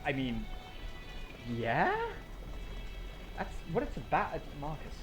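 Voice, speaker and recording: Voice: mid-range voice, very animated, slow pace, music in the background. An actor playing a small, bossy, exasperated and teasing podcast host, emphatic and mock-grandiose in audio drama banter.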